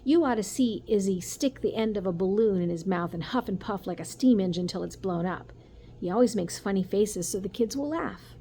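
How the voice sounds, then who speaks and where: A woman's voice: medium pitch, moderate pace, dark tone, close to an everyday voice. A woman voicing an earnest, loyal schoolboy detective in a storybook, eager and insistent. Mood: protesting. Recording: noticeable background noise.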